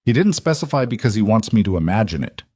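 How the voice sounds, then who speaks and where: A man's voice: fairly low pitch, sing-song, quick pace, mellow, slightly rough, dry recording. A composed, defiant young woman from an audio romance story, read by a man. She speaks in short, firm lines and keeps tight control of herself. The recording is very dry. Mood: firm, resolute. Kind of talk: audiobook character dialogue.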